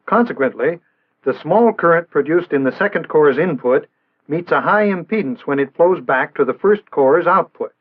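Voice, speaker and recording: An American man narrating a 1960s instructional film in a measured, didactic way with precise enunciation. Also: a little high, animated. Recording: band-limited, tinny vintage film soundtrack.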